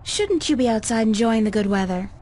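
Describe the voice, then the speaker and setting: A woman's voice: fairly high pitch, lively intonation, fairly quick, a little mellow. A young woman voicing a weary, wry, easygoing art student in a video game, with a casual, understated delivery. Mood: friendly, curious. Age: young adult. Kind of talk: conversational video game voice acting.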